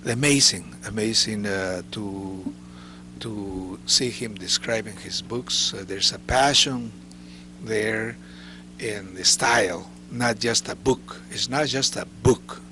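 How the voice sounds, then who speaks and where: A man's voice: on the low side, takes it slowly, sharp tone, slight background noise. A man hosting a studio interview, speaking with effusive praise. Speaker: interview host. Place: recording studio.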